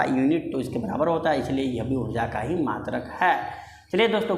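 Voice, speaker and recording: A male teacher with a slightly high voice delivers an explanatory lecture. He puts rhetorical questions to his students and repeats the key points. The room is clearly echoey, with faint noise behind him. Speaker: physics teacher for exam students.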